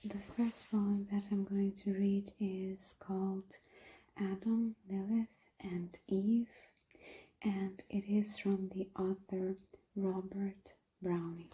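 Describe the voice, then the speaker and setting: A woman speaking in a soft, breathy half-whisper, gentle and deliberate, in the style of an ASMR reading. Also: medium pitch, level tone, poor audio. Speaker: ASMR host playing a librarian reading poems.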